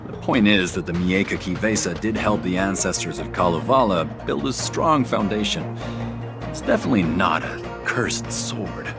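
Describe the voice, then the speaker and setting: A man's voice: sits low, lively intonation, normal speed. An actor playing an earnest, talkative man in a video game, speaking with dignified, emphatic storytelling. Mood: proud, earnest. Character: earnest, talkative man proudly defending a revered sword. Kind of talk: video game dialogue acting. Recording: music behind the voice.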